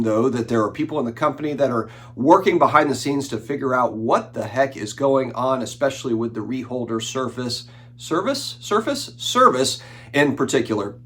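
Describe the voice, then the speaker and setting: A man in his forties, sick with the flu and a bit nasal, hosting a collectibles channel in a casual, rambling chat with fillers and restarts. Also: mid-range voice. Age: 40s. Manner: conversational, frequent fillers and restarts. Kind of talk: casual talking-head commentary.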